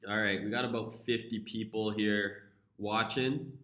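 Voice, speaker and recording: A man's voice: fairly low pitch, steady tone, measured pace, a bit bright, echoey room. A young man with a flu-congested voice hosting a casual live stream, laid-back and profane as he talks through charts.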